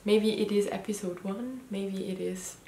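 A woman's voice: medium pitch, steady tone, unhurried, background noise. A woman in her thirties with a slight Austrian German accent, a native German speaker, hosting her first knitting video podcast from home in a casual, hesitant way with frequent ums. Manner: hesitant, many ums and restarts. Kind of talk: casual chat to camera. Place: living room at home. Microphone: camera mic, head and shoulders framing. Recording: echoey room.

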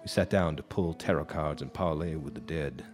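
A man's voice: extremely low pitch, normal speed, over music. An actor playing a bitter, haunted, hard-drinking guitarist, telling his tale in a brooding, theatrical noir radio drama style. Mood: weary, bitter. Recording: live stage recording with background noise.

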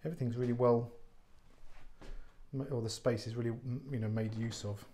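A man, an estate agent giving an unscripted walk-through tour of a warehouse flat, chatty and full of fillers and restarts. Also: mid-range voice, brisk. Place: large warehouse flat, indoors. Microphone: handheld phone camera, speaker off screen.

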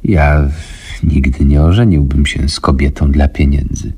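A man's voice: very deep voice, steady tone, measured pace, warm tone, slightly rough, heavily acted. A man playing a stuffy, moralizing male doctor in a radio comedy, with a pompous mentoring manner.

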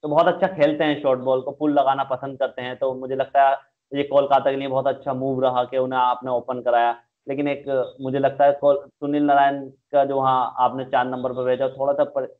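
A young man giving conversational cricket match analysis over a video call. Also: mid-range voice. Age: young adult. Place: indoors, small room, video call. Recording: thin phone-like video call audio.

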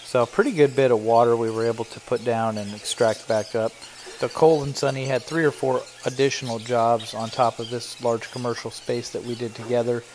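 A man's voice: medium pitch, fairly even intonation, brisk, a little hiss or hum. Chatty man narrating a work vlog. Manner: chatty, explanatory, with fillers. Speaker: carpet cleaning business owner.

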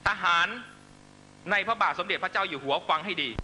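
A man's voice: high-pitched, brisk, pushed and bright, slight background noise, low-quality recording. A man delivering a fiery political speech to supporters, accusatory and emphatic, constantly pressing listeners with rhetorical questions. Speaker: political rally speaker.

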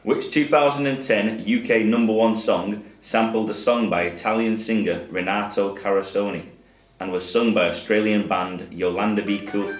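Low-voiced Northern English quizmaster in a roomy hall. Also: male voice, steady tone, moderate pace, soft background music. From England. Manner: dry, plain reading, chuckles at slips. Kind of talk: reading pub quiz questions. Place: social club function room with a table of players. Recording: roomy hall sound with faint background noise.

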